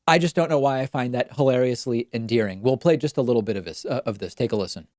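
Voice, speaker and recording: A man from Argentina whose first language is Spanish hosts a political talk show. He speaks conversationally at a middle pitch and thinks aloud as he goes. The sound is dry and close. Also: fast, darkish tone, dry recording. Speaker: host.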